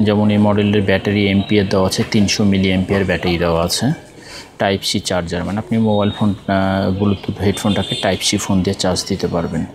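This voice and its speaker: A man with a Bangladeshi accent giving an unscripted product demonstration as a shop seller, repeating himself and often checking in with the listener. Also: sits low.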